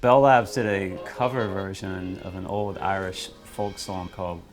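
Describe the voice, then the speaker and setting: A man with a low voice gives explanatory history commentary in a documentary interview. Music plays clearly behind him. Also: steady tone, unhurried.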